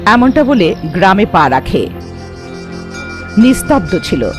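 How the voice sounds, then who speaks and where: Cartoon story narrator, male: very high voice, dramatic scripted delivery, light music behind. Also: very animated, takes it slowly.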